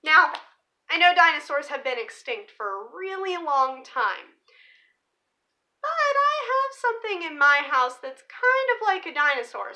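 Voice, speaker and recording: A young woman speaks to children in a high, animated voice. Her tone is bright and forward, with expressive emphasis on key words, in the manner of a teacher reading a picture book aloud. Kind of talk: storybook read-aloud and presentation for children. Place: small living room or room at home, indoors.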